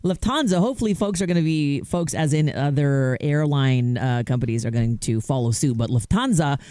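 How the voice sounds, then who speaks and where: A man's voice: on the high side, normal speed, mellow, smooth, no echo, clean studio sound. A man co-hosting a radio show in casual chat, easygoing, hedging and laughing often. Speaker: radio co-host.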